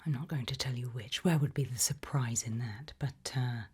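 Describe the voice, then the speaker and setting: A woman whispering an ASMR role-play straight to the listener in a breathy, measured, quietly ominous way. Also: rumbling low. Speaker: ASMR host playing a fear-test examiner.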